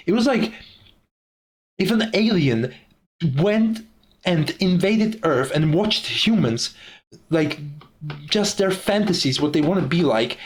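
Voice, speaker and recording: A young man from the Czech Republic with a Czech accent, speaking English as a second language in a profane, ranting casual monologue. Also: sits high. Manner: profane, ranting, laughs often. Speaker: YouTuber.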